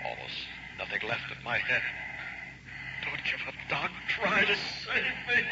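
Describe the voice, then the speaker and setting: Desperate crash victim, breathy begging, wildly varied pitch, muffled vintage radio. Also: male voice, huge pitch swings, unhurried, exaggerated voice. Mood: dazed. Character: desperate dying male crash victim, pleading for life. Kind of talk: 1960s radio drama acting. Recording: noisy muffled old radio recording.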